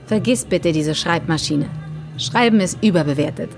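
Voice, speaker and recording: An actress playing a world-weary writer's widow who speaks dryly and dismissively in a naturalistic German radio drama. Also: female voice, on the low side, moderate pace, vocal fry, over music. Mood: dismissive, bitter.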